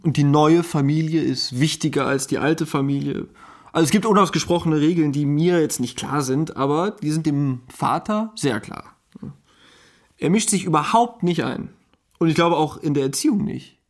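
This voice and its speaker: A man's voice: fairly high pitch, moderate pace, lightly acted. An actor playing an easygoing, wry German husband and father-to-be in a naturalistic, conversational radio play. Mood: puzzled, wry.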